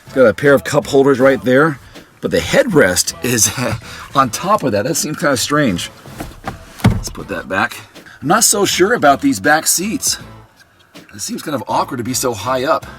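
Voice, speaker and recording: A man in his forties talks casually and upbeat into a camera held at arm's length. His voice is a little worn from a cold, and he thinks aloud as he goes. Faint music plays underneath. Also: medium pitch. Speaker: car reviewer. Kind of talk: casual walkaround car review. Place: outdoors on a street and inside a parked car. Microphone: handheld camera at arm's length.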